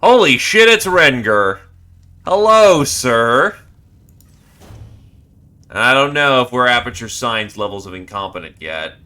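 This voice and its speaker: A man streaming a horror game live, reading on-screen text aloud and breaking into loud, excited outbursts. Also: mid-range voice, slow, pauses often. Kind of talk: live gameplay commentary.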